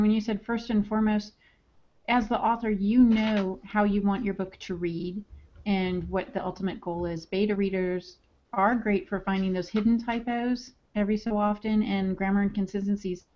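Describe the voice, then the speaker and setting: A woman's voice: medium pitch, steady tone, normal speed. Woman podcaster, slightly dull lo-fi sound. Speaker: podcast co-host. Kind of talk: conversational writing advice. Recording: dull lo-fi audio.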